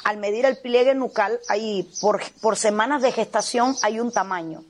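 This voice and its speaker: A woman's voice: on the high side, normal speed, slight background noise. A middle-aged woman pastor from Cuba, with a Cuban Spanish accent, telling her testimony with fervent earnestness in a TV interview.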